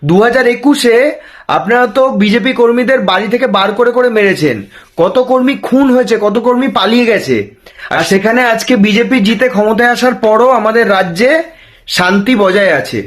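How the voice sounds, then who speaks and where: A man with a West Bengal accent giving opinionated political commentary, challenging with rhetorical questions and dropping in English words. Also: very high-pitched. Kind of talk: opinionated news commentary to camera.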